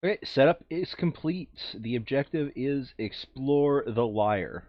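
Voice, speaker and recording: Man in animated, casual gaming chat, slightly dull audio. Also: mid-range voice, unhurried, dry recording. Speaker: board game player recording a playthrough.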